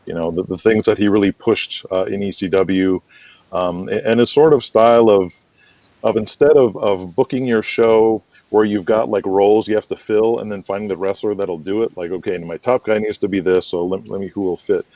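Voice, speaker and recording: A man being interviewed as a guest, chatting casually in an enthusiastic, rambling, halting way. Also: on the low side, steady tone, mellow, no room sound, lo-fi sound. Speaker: interview guest, wrestling promoter and booker.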